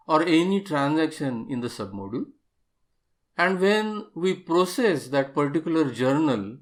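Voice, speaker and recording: A man narrating an explanatory software tutorial as an instructor, methodical and step-by-step, stressing key terms. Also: a little high.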